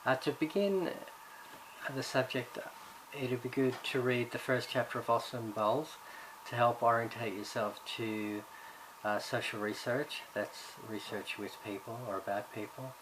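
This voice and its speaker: A man's voice: mid-range voice, fairly even intonation, measured pace, rough recording. A man from Ireland, raised in Australia, giving a recorded course introduction as subject coordinator, talking hesitantly with many fillers and restarts. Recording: background noise.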